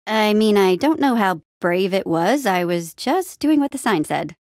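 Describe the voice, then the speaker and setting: A woman's voice: mid-range voice, animated, unhurried, a little mellow, dry recording, studio quality. A woman playing an upbeat, plucky young female adventurer in a video game, with an eager, wry and casual way of talking. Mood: encouraging, determined. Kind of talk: animated adventure game dialogue.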